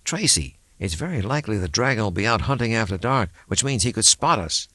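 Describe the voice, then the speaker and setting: A man's voice: fairly low pitch, expressive, a little fast, pushed and bright, no room sound, pitched above the performer's own voice. Reader doing an anxious earnest guy on the run, audiobook thriller, close dry sound. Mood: worried. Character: uneasy, questioning man fleeing a monster.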